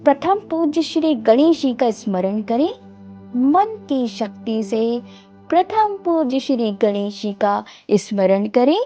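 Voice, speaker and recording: A woman leads a guided meditation at an extremely slow pace, in short, gentle phrases. The recording is dry and slightly dull, with music playing behind her. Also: a little high, no echo.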